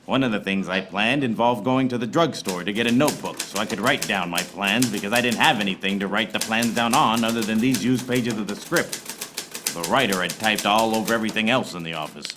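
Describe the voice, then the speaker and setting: An actor playing a wry, hard-boiled private eye who narrates a parody noir radio serial in deadpan, recorded live on stage. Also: male voice, fairly low pitch, a little fast, background noise, lightly acted. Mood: wry narrating. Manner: deadpan pun-laden monologue. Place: small theater stage before live audience.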